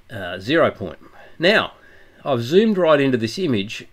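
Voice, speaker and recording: A middle-aged man with a blocked-up flu voice, casually walking through engraving software with lots of ums and uhs. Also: mid-range voice. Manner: relaxed, hesitant, many ums and uhs. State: congested from flu. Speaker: channel host demonstrating laser engraving software. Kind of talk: casual tutorial walkthrough. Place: workshop office, indoors.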